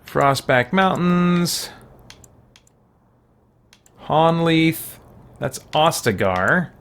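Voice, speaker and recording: A man's voice: fairly high pitch, expressive, drawn-out words, a bit bright. A man hosting a gaming video with casual commentary, thinking aloud as he talks to himself.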